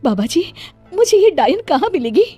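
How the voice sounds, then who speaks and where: A woman playing an anguished, devoted village mother in an animated story, speaking with earnest, melodramatic pleading. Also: very high-pitched, moderate pace. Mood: distressed, pleading. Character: anguished, devoted village mother determined to save her son. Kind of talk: animated Hindi moral story drama. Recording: music under the voice.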